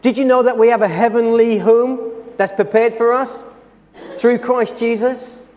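Man, preacher, loud voice, emphatic, lingers on scripture, reverberant church hall. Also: extremely high pitch, steady tone. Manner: earnest, emphatic, slows on scripture. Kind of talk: sermon.

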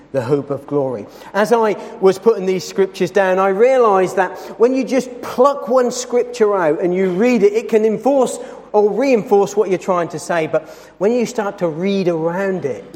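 A man preaching a sermon in a church, with a loud voice and an earnest, emphatic delivery that slows down over scripture. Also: high-pitched. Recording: long hall reverb.

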